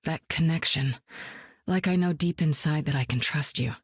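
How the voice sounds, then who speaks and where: A seasoned woman superspy with a cool, wry, self-assured delivery. Her voice sits a little low and is recorded close and dry, with no room around it. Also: moderate pace, breathy edge, dry recording. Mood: warm, amused. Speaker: game hero. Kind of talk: video game voice lines.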